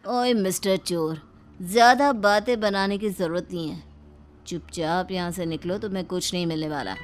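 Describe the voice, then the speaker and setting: A woman's voice: lowish voice, moderate pace, soft background music, natural voice. A woman voicing bold, teasing young characters in a Hindi cartoon story. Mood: defiant.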